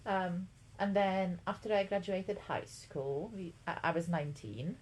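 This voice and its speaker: A woman's voice: on the low side, measured pace, a little mellow, poor audio. A woman in her twenties from Latvia, co-hosting a podcast in casual chat, talking with many ums and likes. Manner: chatty, lots of ums and likes. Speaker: podcast co-host.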